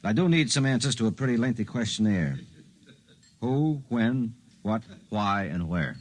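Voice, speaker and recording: A man's voice: lowish voice, expressive, measured pace. An actor playing a skeptical, troubled professional man in a 1950s radio drama, talking in blunt, colloquial, questioning lines. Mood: insistent, skeptical. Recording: 1950s radio broadcast recording.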